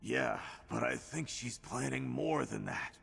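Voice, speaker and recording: An actor playing a gruff, weary fighter in a video game, self-blaming but wry, with a gravelly, husky voice and an informal way of talking. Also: male voice, mid-range voice, unhurried, fairly bright tone, long reverb tail, soft background music, heavily acted. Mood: dejected, shaken. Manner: informal, calls listener pal.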